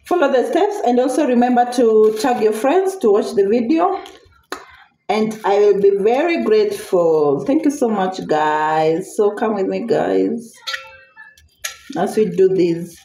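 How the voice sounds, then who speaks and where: A woman's voice: mid-range voice, animated, unhurried, mellow. Chatty Kenyan woman in an echoey room. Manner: chatty, repeats phrases, mixes in Swahili words. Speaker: cooking vlog host. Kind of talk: casual cooking tutorial chat. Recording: echoey room, clear background noise, clicks.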